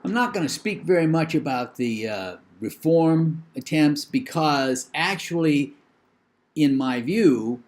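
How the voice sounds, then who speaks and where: An older man with a New England accent giving a recorded lecture in a measured, opinionated way, with thinking pauses and fillers. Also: on the high side, darkish tone.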